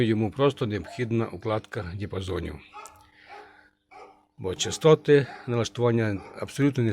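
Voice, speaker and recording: A man presenting a casual hands-on demonstration of a vintage radio he restores, speaking haltingly and muttering to himself as he works. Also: medium pitch, darkish tone, a little hiss or hum.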